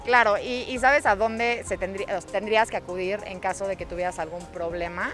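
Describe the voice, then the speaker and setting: A woman's voice: medium pitch, fairly even intonation, brisk, fairly bright tone. A female street reporter interviewing passersby and asking questions in an upbeat way in two languages. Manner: upbeat, switches between Spanish and English when asking questions. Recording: music playing underneath.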